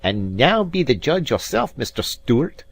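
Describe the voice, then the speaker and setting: A man reading aloud and voicing a mocking, mock-courteous Highland rival piper in a voice. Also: sing-song, normal speed, gravelly, no echo. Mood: needling.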